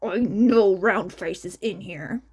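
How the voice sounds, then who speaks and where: A woman voicing an aggressive, hot-tempered teenage boy in a story, forceful and barking. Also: medium pitch, slow pace, slightly rough, pitched below the performer's own voice. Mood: suspicious, menacing.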